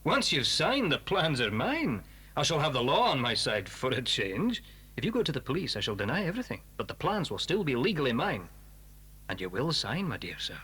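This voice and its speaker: Husky, excitable British gentleman in audio drama. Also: mid-range voice, a little fast, heavily acted. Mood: menacing. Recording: 1980s cassette dramatisation.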